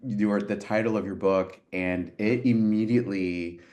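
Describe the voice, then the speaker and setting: A man from Puerto Rico co-hosting a podcast, speaking in an earnest, heartfelt way with halting restarts. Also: on the low side, fairly even intonation, a little mellow. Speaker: podcast co-host. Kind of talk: casual podcast conversation.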